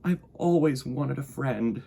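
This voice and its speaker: A man voicing a small, gentle toy bear in a children's storybook, slow, earnest and full of wonder. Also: medium pitch, creaky, husky, full character voice. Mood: hopeful.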